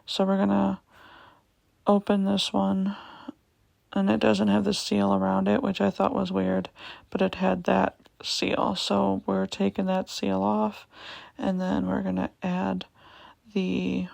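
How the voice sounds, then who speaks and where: Raspy, halting woman with heavy vocal fry. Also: mid-range voice, a little mellow, no room sound. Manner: hesitant, many ums, repeats herself. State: raspy voice, going in and out. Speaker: vlogger. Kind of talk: casual voiceover vlog.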